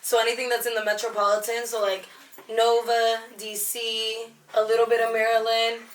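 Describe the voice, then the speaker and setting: A young woman from Virginia who grew up speaking both Spanish and English. She is a hip hop and R&B artist talking casually at a lounge venue, in a relaxed and upbeat way with plenty of slang. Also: on the high side, normal speed, recorded from a distance. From Alexandria, Virginia. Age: young adult. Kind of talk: casual interview. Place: busy lounge venue before a show. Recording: roomy venue sound, from off-mic.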